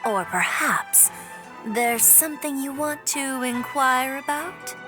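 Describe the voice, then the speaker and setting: A woman voicing a composed, polite, shrewd shopkeeper in a video game, measured and courteous. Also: high-pitched. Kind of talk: video game cutscene voice acting. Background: game music.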